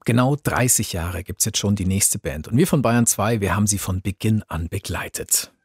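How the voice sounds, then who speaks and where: A man with a husky, raspy voice presenting a radio magazine as its host. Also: on the low side, moderate pace, no room sound, studio quality.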